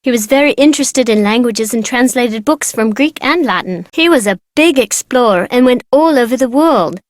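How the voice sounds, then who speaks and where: A woman voicing a high-pitched, precocious young girl. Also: animated, moderate pace, no echo, clean studio sound, natural voice. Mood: chatty, informative. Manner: girlish, casual slangy talk with playful teasing. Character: chatty precocious young girl, proud of her aristocratic family tree. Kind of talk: video game character dialogue.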